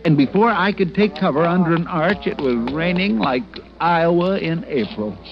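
A man's voice: a little high, animated, moderate pace, creaky, noisy background. A middle-aged actor playing a timid, fussy American tourist in a 1940s radio drama, hesitant and flustered as he recounts his misadventure. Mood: anxious recounting. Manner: hesitant, stammering, prim and flustered. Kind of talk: 1940s radio drama acting, first-person narration. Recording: muffled vintage radio recording with music.

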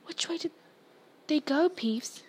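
A woman reads out an irritable male caretaker from a storybook. The voice sits high and sounds impatient. He makes curt demands, exasperated and hunting for culprits. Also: animated, slow. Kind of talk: storybook character voice.

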